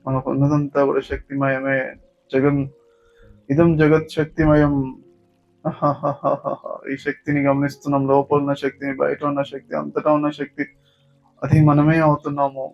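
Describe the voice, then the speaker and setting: A man with a Telugu accent leading a guided yoga nidra meditation as a teacher, giving slow, repetitive, soothing instructions. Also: on the high side, completely flat delivery. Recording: dull lo-fi sound with music. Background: background music.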